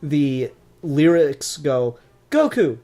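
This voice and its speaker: A man's voice: on the high side, very animated, extremely slow, mellow. A man co-hosting a podcast, chatting casually and enthusiastically as a fan reviewer, with plenty of swearing.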